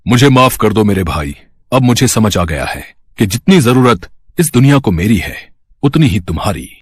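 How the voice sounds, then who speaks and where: A proud cartoon sun god, played by a man, declaims grandly. The voice is low and husky, with wide swings in pitch. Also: big pitch swings, moderate pace, dry recording, studio quality. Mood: remorseful. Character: proud sun god, male, haughty elder brother. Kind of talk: animated moral story acting.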